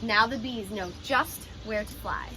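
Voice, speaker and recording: A woman reading a children's picture book aloud like a teacher, with expressive, instructive delivery. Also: mid-range voice, a little slow, background noise. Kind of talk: children's storybook read-aloud with explanatory asides.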